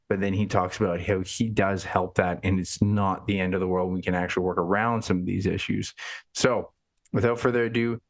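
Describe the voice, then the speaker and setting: A man hosting a fitness podcast, upbeat and chatty, often repeating words as he thinks. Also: fairly low pitch. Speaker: podcast host. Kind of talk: casual interview.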